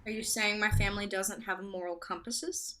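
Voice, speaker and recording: A woman's voice: medium pitch, steady tone, normal speed, pushed and bright, close to an everyday voice. An actress in a staged play reading, playing an earnest young woman who teases back in banter and speaks sincerely when serious. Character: earnest young woman, the lawyer's sparring friend.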